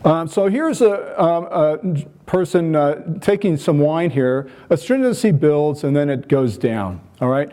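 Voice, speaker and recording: An American man, a wine chemistry researcher, giving a conference lecture in a relaxed, self-deprecating way with frequent ums and restarts. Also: a little high, unhurried. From United States.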